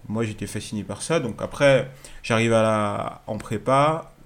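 A man in his thirties from Alsace, a guest artist recounting his path in a relaxed, storytelling way with frequent fillers, in an apartment. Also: fairly low pitch, measured pace. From Alsace, France. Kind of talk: casual interview answers.

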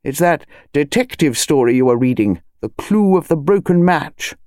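A middle-aged English colonel in a mystery audiobook, as a woman narrator puts him on. He is drowsy and kindly patronising, brushing things off in a mellow, muttering way. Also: deep voice, lively intonation, moderate pace, no room sound, clean studio sound. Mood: kindly dismissive.

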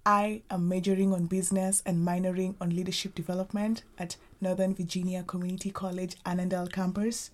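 A woman's voice: on the low side, little pitch movement, brisk, few pauses. A woman from Kenya, a college student, introducing herself in a formal, careful way.

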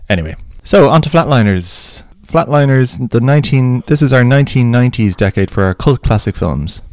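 A man's voice: medium pitch, animated, a little fast, darkish tone, no echo. A man co-hosting a casual podcast, joking and rambling. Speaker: podcast co-host. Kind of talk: casual chat.

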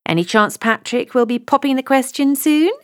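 A woman's voice: high-pitched, normal speed, clear, resonant tone, no echo, clean studio sound, voice pushed up, close to an everyday voice. A woman voicing a snobbish, gushing young bride, a little spoilt and breathless. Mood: chatty.

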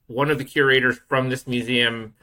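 A man's voice: mid-range voice, flat delivery, normal speed, bright, forceful tone, low-quality recording. A man co-hosting a science podcast, introducing the guest and explaining things with enthusiasm. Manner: enthusiastic, explanatory, building up to a reveal. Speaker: podcast co-host.

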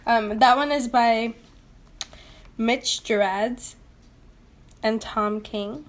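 Higher-voiced woman podcaster, halting delivery. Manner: halting, heavy use of "like". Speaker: podcast co-host. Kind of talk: casual group podcast chat.

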